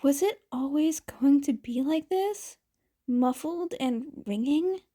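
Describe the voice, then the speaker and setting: A woman reading fan fiction aloud as an amateur audiobook narrator, with expressive storytelling. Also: high-pitched, slow.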